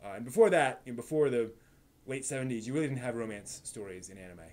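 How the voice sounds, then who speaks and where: A man with a mid-pitched voice chats casually as a live stream host. He explains ideas analytically, working them out as he speaks. The delivery is halting, with repeated words and unscripted detours. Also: darkish tone. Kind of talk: casual rambling live stream chat about anime.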